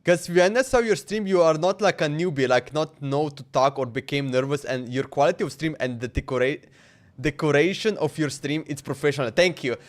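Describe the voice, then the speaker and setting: A man's voice: on the high side, brisk. A man chatting casually on a game livestream in an informal, slangy, repetitive way. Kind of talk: casual stream chat.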